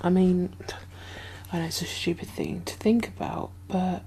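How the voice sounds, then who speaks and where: A woman playing a caring, uneasy survivor of a zombie apocalypse in a soft-spoken ASMR roleplay, breathy and hesitant, often slipping into whispers. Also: on the low side, steady tone. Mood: amazed, speculating. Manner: hesitant, musing, halting.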